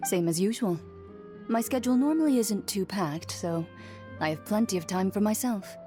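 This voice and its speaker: Actress as a poised, mid-pitched young duelist woman. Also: normal speed, mellow, faint music behind, lightly acted. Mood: cool, composed. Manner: composed, formal, matter-of-fact. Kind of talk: video game voice acting.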